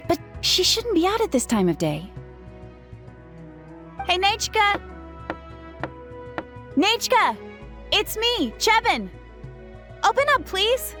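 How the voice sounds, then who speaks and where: High-voiced, spirited young villager. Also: female voice, heavily acted. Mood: puzzled, worried, then urgent, calling out. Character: friendly, spirited young woman, a local villager. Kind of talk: English video game dub acting.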